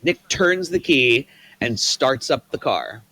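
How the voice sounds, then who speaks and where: A man's voice: medium pitch, slow, no echo, low-quality recording. Man reading stage directions. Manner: plain, steady reading. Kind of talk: table read of a screenplay.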